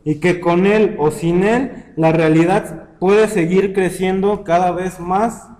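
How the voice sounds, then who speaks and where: A man's voice: sits high, fairly even intonation, slow, darkish tone, pitched above the performer's own voice. A man voicing a defiant young male worker who rallies his comrades in a declamatory, rhetorical speech. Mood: defiant, indignant. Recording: long hall-like reverb.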